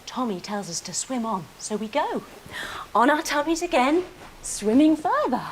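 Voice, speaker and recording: A woman leading a children's yoga story in a playful, expressive, encouraging way, acting out the movements and sounds as she goes. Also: sits high, normal speed, slight background noise, low-quality recording. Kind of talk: animated storytelling lesson for young children.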